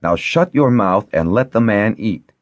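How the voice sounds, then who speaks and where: A man voicing a brisk, no-nonsense young woman with a wry, firm manner in an audiobook. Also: fairly low pitch, expressive, no echo, highs cut off, voice pushed up. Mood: sharp. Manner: firm, wry, matter-of-fact.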